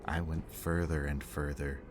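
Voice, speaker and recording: A man playing a bitter, remorseful villain in an audio roleplay, confessing in a low, intimate voice that sometimes drops to a whisper. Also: measured pace. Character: remorseful bitter male villain, young adult. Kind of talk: audio roleplay addressed to the listener. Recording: steady ambient background noise.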